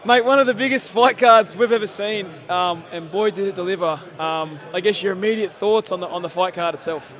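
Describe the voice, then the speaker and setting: Aussie guy hosting fight reactions, voice shot from yelling, high and excited. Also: fairly quick, hardly any pauses. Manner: excited, rambling, many fillers. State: losing his voice from yelling. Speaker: host. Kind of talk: post-fight reaction interview. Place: sports bar at a casino.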